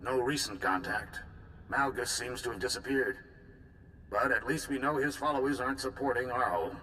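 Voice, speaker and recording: Game cast: man as a blunt military commander, bright, forceful tone, brisk orders. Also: medium pitch, a little hiss or hum, lightly acted, rough recording. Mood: commanding. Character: male military supreme commander, decisive and blunt. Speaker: supreme commander. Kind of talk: game voice acting.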